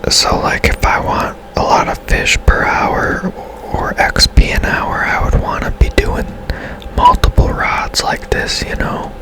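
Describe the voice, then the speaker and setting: A man whispering casual, halting ASMR gameplay commentary in a breathy, unvoiced voice, like a channel host. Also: low-quality recording.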